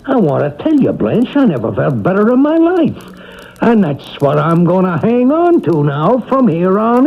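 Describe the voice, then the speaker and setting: Raspy grouchy husband in old radio drama, super animated, sarcastic and stubborn, tinny sound. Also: male voice, high voice, full character voice. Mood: elated, determined. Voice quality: husky, gravelly. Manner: blunt, sarcastic, ornery. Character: crotchety, defiant husband risen from his sickbed. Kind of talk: 1970s radio drama acting. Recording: thin band-limited 1970s radio recording.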